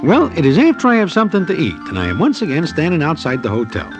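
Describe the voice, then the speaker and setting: An actor playing a wry, streetwise Broadway storyteller who narrates in mannered present-tense slang, in 1940s radio style. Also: male voice, a little high, huge pitch swings, fast, over loud music. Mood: wry, storytelling. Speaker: narrator and character.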